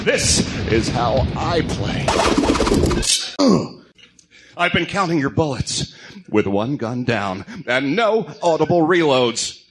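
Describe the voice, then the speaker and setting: Man acting a slow, grim masked vigilante. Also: medium pitch, sing-song, noisy background. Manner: terse, stern, deliberate. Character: grim, brooding adult male masked vigilante hero. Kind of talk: comic-book radio drama acting.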